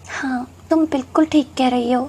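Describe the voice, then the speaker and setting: A woman voicing a caring, resigned eighteen-year-old elder sister in a moral story, explaining things gently. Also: high voice, steady tone, moderate pace, breathy edge. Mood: sad, resigned.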